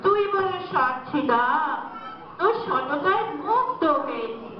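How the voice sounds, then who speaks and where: A woman's voice: very high voice, sing-song, slow, crowd noise, exaggerated voice. Woman doing kindly water goddess, drawn out and stately, folk play, distant muffled recording. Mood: benevolent. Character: kindly, motherly water goddess rewarding honesty. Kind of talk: Bengali folk-tale stage drama. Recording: very echoey, distant, dull, faintly noisy.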